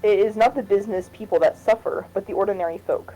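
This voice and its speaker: A principled, earnest female politician from a political game, read by a woman. Her voice is dark and mellow at a medium pitch, with faint music behind it. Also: quick pace, natural voice, poor audio. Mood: earnest, insistent. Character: principled female politician championing ordinary citizens.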